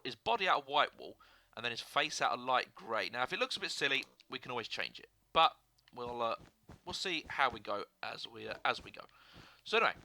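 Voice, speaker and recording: Bright-voiced man with a sore throat. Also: medium pitch, no room sound. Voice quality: hoarse. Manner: rambling, lots of filler and restarts. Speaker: gaming video host. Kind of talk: casual gameplay commentary.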